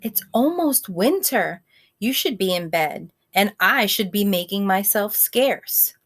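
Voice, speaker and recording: A woman's voice: medium pitch, lively intonation, takes it slowly, fairly bright tone, heavily acted. A woman voicing a fussy but kindly male turkey in a children's storybook, scolding and fretful.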